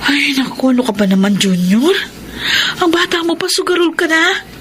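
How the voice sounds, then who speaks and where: A woman's voice: sits high, very animated, normal speed, slight background noise. A woman acting a burdened, dutiful daughter and scolding elder sister in a Filipino radio drama. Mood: exasperated, upset.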